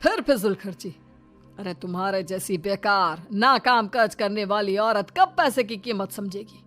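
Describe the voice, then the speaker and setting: A woman's voice: mid-range voice, measured pace, a bit bright, close to an everyday voice. A woman voicing a harsh, scornful older father-in-law who scolds, in a Hindi cartoon story. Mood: angry. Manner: scolding, contemptuous. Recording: music behind the voice.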